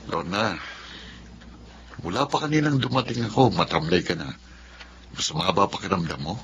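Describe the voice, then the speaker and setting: A man's voice: sits low, normal speed, over music. A man with a Filipino accent playing a hot-tempered, resentful husband in a radio drama. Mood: concerned.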